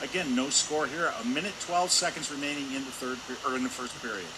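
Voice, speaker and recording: A man's voice: mid-range voice, fast talker. A man giving live color commentary on a hockey game in a conversational, discursive manner. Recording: clear arena noise behind voice. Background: hockey arena ambience.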